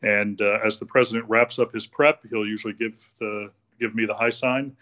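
Through slightly muffled, band-limited audio, an American man gives explanatory interview answers. His voice is fairly low and very even, and he lays things out methodically with frequent hesitations, like a former official recalling procedure. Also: normal speed.

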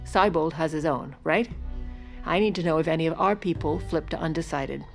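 A shrewd political schemer of a first lady in an audio drama. Her voice is on the low side, authoritative and wry, with light music behind it. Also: moderate pace, vocal fry. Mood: commanding, calculating. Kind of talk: audio drama dialogue and narration.

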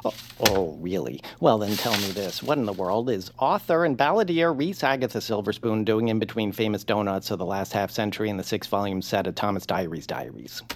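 A man's voice: on the low side, expressive, fairly quick, hardly any pauses, slight background noise. A man voicing a fussy, anxious, bookish peepkin in a fretful, theatrical way for an audio comedy. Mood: fussy, indignant. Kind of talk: audio fiction comedy acting.

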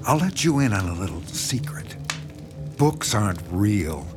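An actor playing a sinister, obsessive horror writer with a coaxing, intense, condescending manner in a radio drama. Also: male voice, on the low side, sing-song, a little slow. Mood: smug, persuasive. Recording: dramatic music behind the voice.

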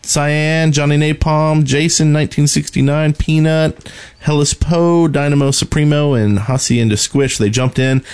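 A man's voice: fairly high pitch, fairly even intonation, measured pace, a little mellow, clean tone. A man co-hosting a casual gaming podcast, talking informally with friends. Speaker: podcast host.

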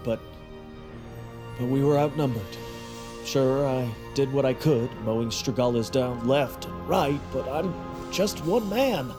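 Vain, cowardly movie star. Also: male voice, mid-range voice. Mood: boastful. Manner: boastful, blustering, hesitant when pressed. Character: vain cowardly male movie star, boastful and self-absorbed. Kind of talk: audio drama comedy acting.

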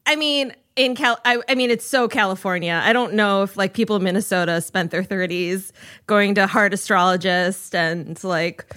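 A woman's voice: medium pitch, normal speed, sharp tone, no room sound, studio quality. A woman in her forties, a podcast guest chatting candidly and self-deprecatingly, often laughing. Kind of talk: casual chat.

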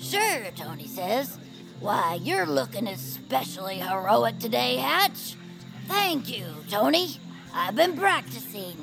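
A woman voicing an eager, excitable young heroine who gushes and panics dramatically in an audio drama. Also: fairly high pitch, gravelly, over music, full character voice, poor audio. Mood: dreamy. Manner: gushing, dramatic, quick to panic.

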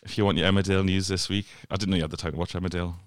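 A man's voice: low voice, flat delivery, very fast, no room sound, clean studio sound. A man hosting a football podcast in a jokey, casual way. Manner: jokey, plugging and introducing guests. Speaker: podcast host.

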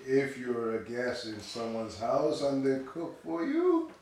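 Rambling sixty-year-old , male actor, teasing, unhurried delivery, off-mic in a reverberant room. Audio play. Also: medium pitch, big pitch swings, darkish tone, slight background noise. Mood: unbothered. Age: 60s. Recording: very echoey room, distant.

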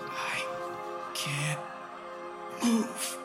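A man's voice: on the high side, animated, very slow. An actor playing an uneasy male cannabis shop clerk, hesitant and stammering, in a comic horror audio drama. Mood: frightened. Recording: music behind voice.

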